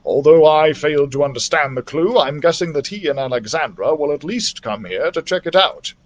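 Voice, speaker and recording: A man's voice: on the high side, normal speed, close to an everyday voice. A man voicing a learned adventurer doctor in a storybook, a formal and authoritative mentor with precise phrasing. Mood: cautious, serious.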